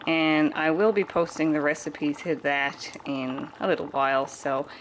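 Low-voiced woman giving a kitchen walkthrough. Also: steady tone, unhurried, slight background noise, poor audio. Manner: chatty, explains steps plainly. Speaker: homesteading vlogger. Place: home kitchen. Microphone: handheld camera.

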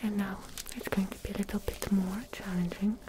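A young woman whispering softly as an eye examiner in an ASMR roleplay, giving gentle, unhurried instructions close to the microphone. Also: mid-range voice, steady tone, background noise. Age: young adult. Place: small dim indoor room with curtain.

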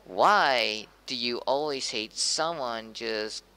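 A man's voice: mid-range voice, slow, bright, forceful tone, smooth. A man playing a hesitant local TV news anchor, informal and stumbling over his words in an amateur drama. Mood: questioning, hesitant. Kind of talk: amateur acted news interview.

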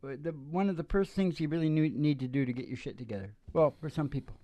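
A middle-aged man chatting casually as a show host, rambling in a folksy, halting, philosophising way into a stage microphone. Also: a little high, mellow, no echo. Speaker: host and singer of a father-daughter music podcast. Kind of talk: casual rambling banter between songs. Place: small dim curtained stage, indoors. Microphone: stage microphone at the mouth. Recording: dull lo-fi live recording with guitar. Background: acoustic guitar music.

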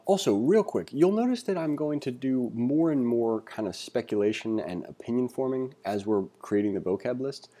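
A man giving a read-along coding tutorial, reading aloud and thinking out loud with informal asides. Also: mid-range voice. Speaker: instructor.

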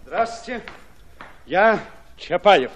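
An actor playing a brusque, fiery Red Army commander in a radio drama, curt and commanding. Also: male voice, high-pitched, expressive, slow. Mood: brisk. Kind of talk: Russian radio drama acting.

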